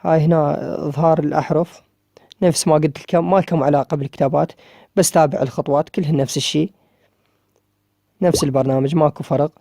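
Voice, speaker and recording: A man with an Iraqi Arabic accent talks quickly through a screen tutorial, naming every tap again and again. His voice sits fairly high but has a dark, mellow tone. The sound is dry and close, with no room echo. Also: steady tone, creaky. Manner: repetitive, pointing out each tap with drawn-out "ah" fillers. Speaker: app tutorial maker.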